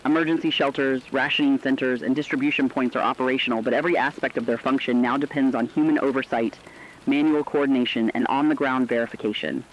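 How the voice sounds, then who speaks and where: A man voicing a serious news correspondent in a dystopian radio broadcast, reading formal, measured scripted reports. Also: mid-range voice, fairly even intonation, dull audio, slight background noise, natural voice.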